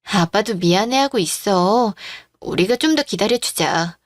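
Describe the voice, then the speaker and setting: Patient middle-aged wife and mother voiced by a woman, devoted, measured pace, dry drama recording. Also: mid-range voice, expressive, no room sound, close to an everyday voice. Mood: pleading, reassuring. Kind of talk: Korean radio-drama style story.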